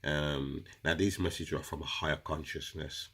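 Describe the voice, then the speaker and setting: Very deep husky man with a cold. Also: steady tone, moderate pace, a bit bright. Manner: chatty, addresses viewers as guys. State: has a cold, runny nose. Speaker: crystal seller explaining meditation. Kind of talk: casual instructional video talk.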